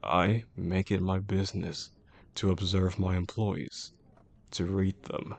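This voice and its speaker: A man playing a possessive, menacing boss in a romantic audio roleplay, speaking in a controlled, formal way with a gravelly voice. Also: deep voice, level tone, exaggerated voice. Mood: quietly predatory. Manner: controlled, deliberate, formal wording. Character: possessive, menacing male boss obsessed with an employee.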